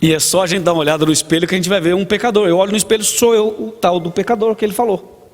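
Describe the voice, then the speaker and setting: Brazilian pastor telling stories in a sermon, kinda high voice, big reverb in the church. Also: male voice, steady tone, racing. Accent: Brazilian Portuguese. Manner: storytelling, acts out dialogue, asks the congregation. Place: church hall.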